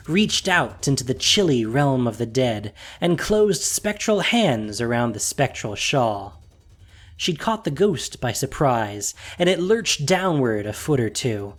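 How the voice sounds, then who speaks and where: A man narrating a fantasy audio story in a scripted, expressive storytelling manner with dramatic pauses. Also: mid-range voice.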